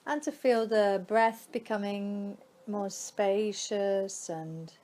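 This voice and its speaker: Slow-speaking woman guiding a relaxation meditation. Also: mid-range voice, steady tone, mellow. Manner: soothing, unhurried, with long pauses between phrases. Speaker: yoga nidra guide.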